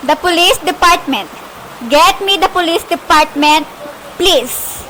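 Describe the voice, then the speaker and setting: A woman's voice: very high-pitched, unhurried, pushed and bright, exaggerated voice, low-quality recording. A woman playing an anxious, demanding, indignant married woman on the telephone in an amateur radio play. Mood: agitated, demanding. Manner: fretful, demanding, exclaiming. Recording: faint background noise, amateur home recording.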